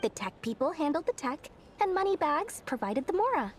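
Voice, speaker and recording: A woman's voice: high-pitched, sing-song, a little fast, slight background noise. A woman voicing a brash young female merchant who acts greedy but secretly cares, in a video game. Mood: earnest. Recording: game audio with music behind.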